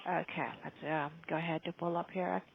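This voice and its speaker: A woman's voice: lowish voice, flat delivery, a little fast, creaky, slight background noise. A woman customer service agent on a narrow, tinny telephone line. She is polite and formal, using courteous call-centre phrasing. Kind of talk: scripted, courteous phone service talk. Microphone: telephone call recording.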